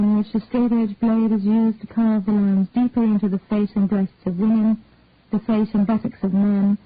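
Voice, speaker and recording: A woman reading a detective story aloud in a measured, even way. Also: medium pitch, no echo. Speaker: story reader. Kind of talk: scripted narration of a detective story. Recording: dry, tinny phone-like audio.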